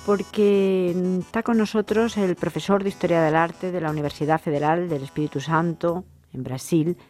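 A woman's voice: on the low side, steady tone, moderate pace, a little mellow, faint music behind. A woman hosting an interview in a university studio, articulate and welcoming, asking long flowing questions. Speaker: radio interview host. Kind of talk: radio interview.

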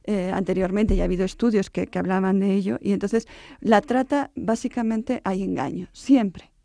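A woman professor, explaining her research in a radio interview and often pausing to search for words. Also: mid-range voice, fairly even intonation, vocal fry, warm tone, dry recording. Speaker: anthropology professor, interview guest.

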